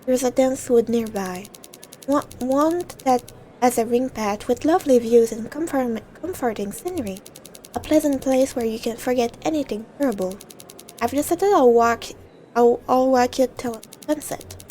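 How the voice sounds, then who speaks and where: A woman's voice: on the high side, normal speed, dark tone, slight background noise, rough recording. A woman reading visual novel narration aloud in a dramatic storytelling way, now and then stumbling over words.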